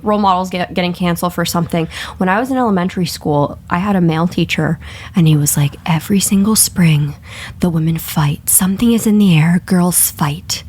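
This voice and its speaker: Lower-voiced young American woman, candid podcaster. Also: fairly quick, slightly rough. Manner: candid, animated storytelling with quoted asides and heavy swearing. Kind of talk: casual podcast chat.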